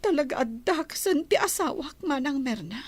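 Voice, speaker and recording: An anguished wife in an Ilocano radio drama. Her high voice is troubled and fearful, pleading as she confides. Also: lively intonation, normal speed.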